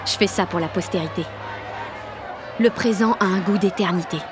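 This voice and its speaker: A woman playing a brash, excitable sixteen-year-old girl with grandiose ideas, narrating an audio drama in slangy, dramatic first person. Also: mid-range voice, normal speed, noisy background, lightly acted. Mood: proud, excited. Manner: slangy, cocky, dramatic teenage delivery. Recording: music and street crowd behind the voice.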